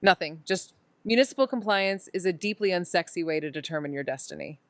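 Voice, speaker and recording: A woman's voice: lowish voice, animated, a little fast, no room sound, natural voice. An actress playing a sarcastic, playful but secretly tender young woman in a naturalistic audio drama, with a flippant, casual delivery. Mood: sardonic.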